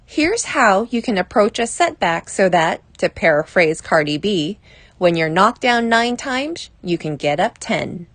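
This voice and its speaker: A woman reading a personal advice essay aloud as scripted narration, in a measured, deliberate way. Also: medium pitch, big pitch swings, fairly bright tone, no room sound.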